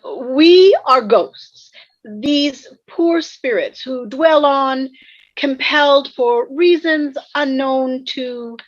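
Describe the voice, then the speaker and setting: A woman voices a solemn, formal ghost for a sitcom read-through. The voice is high and extremely drawn out. The call audio sounds dull. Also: extremely slow.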